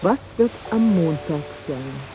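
A woman's voice: low-pitched, animated, slow, music in the background, full character voice, rough recording. An actress playing a teasing, insistently questioning woman in a German radio drama. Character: teasing, probing woman, a biologist and old flame.

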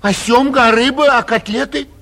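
A man playing a vain, petulant, cowardly young gentleman in a 1940s theatrical radio play, whining and indignant in a husky voice, with long reverb on the old recording. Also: very high-pitched, moderate pace. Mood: haughty, indignant. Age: young adult.

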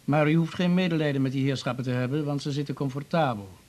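An actor playing a matter-of-fact police detective who explains things methodically in a radio drama. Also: male voice, a little high, fast talker, darkish tone, close to an everyday voice. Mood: official, explanatory. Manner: methodical, official. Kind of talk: Dutch radio drama acting.

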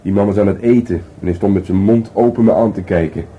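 An actor playing a grumbling but playful police detective in a radio play, speaking informally with a Netherlands Dutch accent. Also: male voice, fairly low pitch, quick pace, dark tone, breathy edge, highs cut off. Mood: grumbling, sulky. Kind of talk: naturalistic radio play dialogue. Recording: faintly noisy radio play recording.

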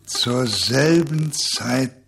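An actor as the narrator of a literary radio play, solemn and deliberate in his storytelling. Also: medium pitch, creaky, a bit bright, soft background music, exaggerated voice. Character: grave male storyteller of a radio novella.

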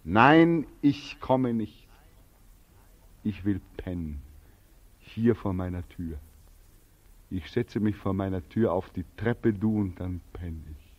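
An actor playing a bitter, exhausted, despairing young soldier home from war in a 1940s German radio drama, with a weary, brooding delivery. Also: male voice, sits low, animated, takes it slowly, long pauses, heavily acted. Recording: muffled 1940s radio recording, faint music.

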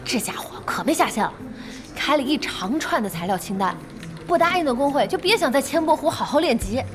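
An actress playing a lively, fiery young female internet cafe boss in a Chinese audio drama, speaking in exclamations. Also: high voice, brisk, background noise. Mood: amused. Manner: exclamatory, expressive. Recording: music behind the voice.

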